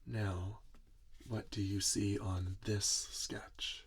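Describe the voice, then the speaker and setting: A man playing a gentle, weary inventor and doting father in a soft-spoken ASMR roleplay, musing tenderly to his robot. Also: lowish voice, level tone, husky, full character voice. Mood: patient, encouraging. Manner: slow, tender, musing, talking to a robot listener.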